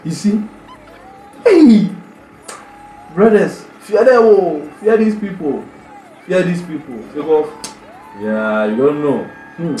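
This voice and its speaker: Excitable young Nigerian man reacting. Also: on the high side, slow, long pauses, echoey room, slight background noise, low-quality recording. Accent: Nigerian Pidgin English. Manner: excitable, exclaiming, stammering repeats. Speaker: YouTube reaction host. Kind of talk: animated casual reaction commentary in Pidgin. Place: small bedroom indoors.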